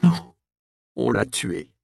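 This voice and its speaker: A male audiobook reader voicing a grieving, defiant teenage girl who speaks in halting, tearful bursts. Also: low voice, sing-song, vocal fry, no room sound, clean studio sound, heavily acted. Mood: angry. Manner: sobbing, halting speech.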